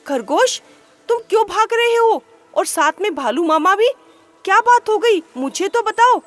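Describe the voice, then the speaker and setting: A sly storybook fox lady with a high voice and quick, prying questions, as a woman puts her on. Faint music sits behind her. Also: lively intonation. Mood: curious. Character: cunning female fox.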